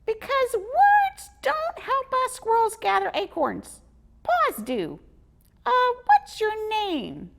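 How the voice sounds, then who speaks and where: A woman voicing an angry little male squirrel with a squeaky voice. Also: wildly swooping pitch, takes it slowly, vocal fry, heavily acted. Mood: indignant. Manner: indignant, shouting.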